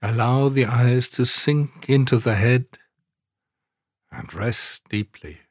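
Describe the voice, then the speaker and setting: A man guiding a scripted relaxation meditation in a calm, soothing, measured way, leaving long pauses. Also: medium pitch, breathy edge, no room sound, clean studio sound. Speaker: meditation guide, podcast host. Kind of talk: guided yoga nidra relaxation meditation, scripted narration.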